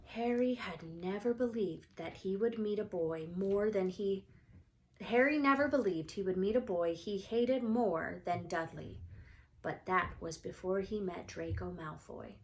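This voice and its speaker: Woman, storybook reader, read-aloud narration, medium pitch. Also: measured pace, rough recording.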